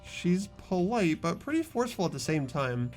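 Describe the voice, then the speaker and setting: Casting: man to play an ordinary schoolboy lead in a voiced game, lighter-pitched, earnest, slightly anxious. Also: very animated, moderate pace, soft background music. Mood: wary, musing. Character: ordinary teenage schoolboy protagonist, earnest and uneasy. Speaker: narrator and lead of a fan-voiced game playthrough. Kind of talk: amateur voiced visual novel narration and dialogue.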